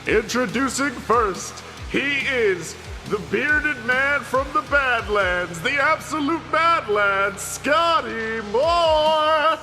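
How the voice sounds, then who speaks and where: Man doing a high-pitched, pompous ring announcer. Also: animated, over music, full character voice, poor audio. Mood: grandiose. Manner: booming, drawn-out, pompous. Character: grandiose wrestling ring announcer introducing fighters. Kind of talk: mock wrestling ring announcement in podcast promo.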